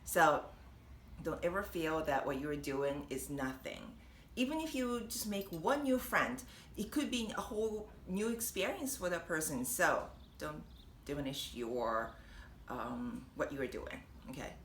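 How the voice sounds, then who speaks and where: A young woman with a Japanese accent, chatting warmly and encouragingly to camera, laughing often. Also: low voice, animated, unhurried. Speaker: vlogger and language teacher. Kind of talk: casual encouraging talk to camera. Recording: echoey room with faint noise.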